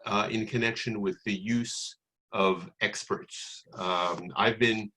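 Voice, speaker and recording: An older man with a New York accent introducing a webinar panel in a semi-formal, halting way with pauses mid-sentence. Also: on the low side, fairly even intonation, sharp tone, poor audio. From New York City, United States.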